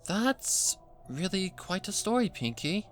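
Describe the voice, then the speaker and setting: A man voicing a refined, supportive adult pony mare, composed and warm-hearted, in a fan fiction story reading. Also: high-pitched, sing-song, slow, soft background music, voice pushed up, full character voice. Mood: warm, approving.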